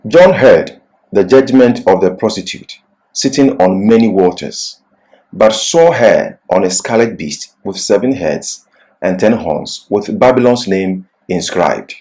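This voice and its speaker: A man giving a religious seminar lecture as a Bible class teacher, with deliberate, emphatic phrasing broken by pauses mid-sentence. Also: deep voice, lively intonation, darkish tone, rough recording.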